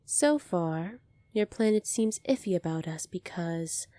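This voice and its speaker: A woman playing a poised, kindly young alien princess in an ASMR roleplay, polite, formal and measured, soft-spoken with breathy moments. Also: fairly low pitch, lively intonation, no echo. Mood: gentle, reassuring.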